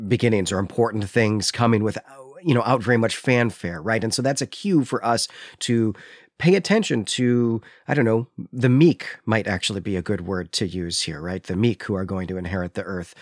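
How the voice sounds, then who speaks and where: Man, conversational book discussion, emphatic, checks in with listener, mid-range pitch, dry recording. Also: no echo. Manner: emphatic, often checking with "right?". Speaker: podcast co-host.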